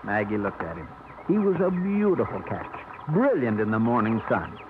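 A man narrating a 1950s radio drama in a measured, storytelling manner. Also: lowish voice, very animated, noisy background. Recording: tinny old radio broadcast with music.

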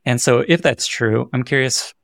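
A man's voice: mid-range voice, normal speed, gravelly, a bit bright, no room sound, studio quality. A man hosting an interview podcast, asking questions in a conversational way. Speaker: podcast host.

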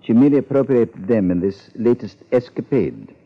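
A man acting a solemn psychiatrist in a 1950s radio drama, speaking in formal, measured, deliberate phrases. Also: medium pitch, lively intonation. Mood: solemn, clinical. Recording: muffled 1950s radio recording.

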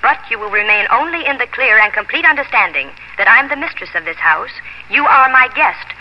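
A woman's voice: a little high, lively intonation, fairly quick. An actress playing a headstrong, emotional young colonial woman telling her story with earnest theatricality in a 1950s radio drama. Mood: defiant. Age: young adult. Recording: muffled old radio recording with music.

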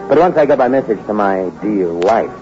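An actor playing a bitter young convict on the run in an old-time radio crime drama, with hard-boiled gangster talk. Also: male voice, lowish voice, expressive, fairly quick, darkish tone, close to an everyday voice. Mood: grim, determined. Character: bitter young fugitive convict hunting his wife for revenge. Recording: dull old radio recording with music.

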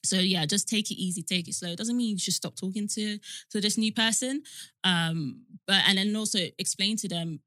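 A woman's voice: medium pitch, normal speed, pushed and bright, no echo. A woman co-hosting a podcast, talking casually and candidly in an informal, reassuring way. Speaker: podcast co-host. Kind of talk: candid casual chat, giving advice.

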